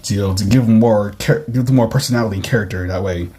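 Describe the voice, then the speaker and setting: A comic creator with a husky voice reading their own comic aloud casually, rambling and repeating phrases as they comment. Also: low-pitched, mellow. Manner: rambling, halting, repeats phrases, acts out action with sound effects.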